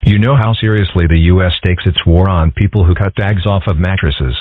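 Casting: exasperated cartoon official, formal and stern, low husky voice, tinny phone sound. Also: male voice, a little fast, no room sound. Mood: weary officiousness. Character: stern, officious attorney general on the telephone. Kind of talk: comic strip dub. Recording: muffled narrow phone-like sound.

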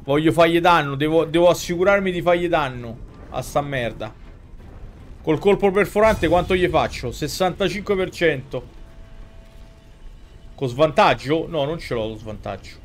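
A man's voice: a little high, lively intonation, normal speed, slight background noise. A man in his thirties with a Roman accent giving slangy, exclamatory live commentary as a game streamer, talking to his chat and characters. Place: indoors at a streaming desk. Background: game music.

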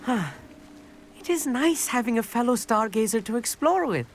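Eager schoolboy stargazer, very animated. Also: male voice, very high-pitched, moderate pace. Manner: earnest, slightly formal, chatty. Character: eager, bookish, nervous teenage boy astronomer. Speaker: companion character in a fantasy video game. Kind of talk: scripted game dialogue. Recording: game music under the voice.

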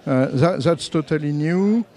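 A middle-aged man, talking into a handheld mic at a trade show booth and explaining products with many halting "uh" pauses. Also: on the high side, mellow. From France. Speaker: company representative demoing products. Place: trade show booth, indoors, busy hall. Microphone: handheld microphone.

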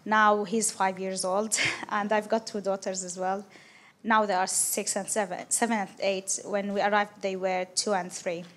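A woman in her thirties from Syria, speaking hesitantly on a handheld mic with many pauses and fillers, standing among seated guests in a large hall. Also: mid-range voice, flat delivery, fairly bright tone. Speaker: guest picked from the audience. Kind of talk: unscripted answers in front of an audience. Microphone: handheld microphone.

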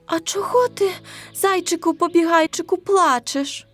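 Woman voicing a high, sing-song little fox. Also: unhurried, a bit bright, soft background music. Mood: eager, sympathetic. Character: eager, kindly little fox sister, boastful then frightened.

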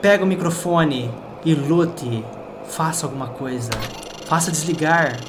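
A man with a Brazilian accent voicing a friendly, anxious game robot that speaks in a halting, pleading way. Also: on the high side, background noise, lightly acted. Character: friendly male robot, anxious as its system fails. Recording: loud game music behind.

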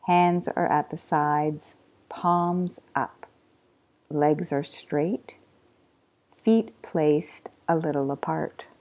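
A woman teaching a guided yoga nidra relaxation, giving slow, soft body instructions with long pauses. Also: low voice. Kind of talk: guided meditation.

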